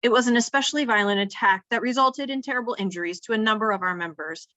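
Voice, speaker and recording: A woman voicing a formal female chief director who speaks with measured authority. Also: fairly high pitch, pushed and bright, no room sound. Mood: grave.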